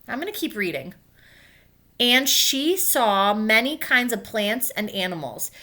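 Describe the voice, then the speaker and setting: A woman teacher reading a children's book aloud and pausing to explain it in simple words for young pupils. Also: a little high, lively intonation, sharp tone.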